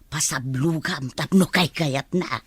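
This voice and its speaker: A woman's voice: deep voice, a little slow, husky, no room sound. A woman playing a frank, teasing older household servant in a radio drama, with an Ilocano Filipino accent. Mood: musing, instructing.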